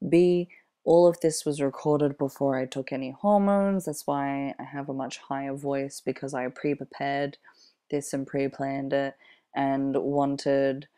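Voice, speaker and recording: A young man with a deep voice, giving a casual, hesitant spoken intro to his show. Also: flat delivery, a little slow, mellow, smooth. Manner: explaining, hesitant with fillers. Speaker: show host.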